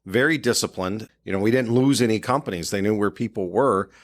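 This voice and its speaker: A man's voice: on the low side, brisk. A man hosting a casual discussion as someone who works in the fire service. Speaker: podcast host and fire officer.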